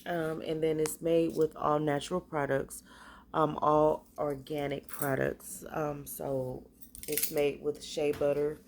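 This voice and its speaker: A woman with a stuffy cold, casually showing off the spiritual bath and body products she makes, talking in a halting, hesitant way. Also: low-pitched, flat delivery, darkish tone, a little hiss or hum.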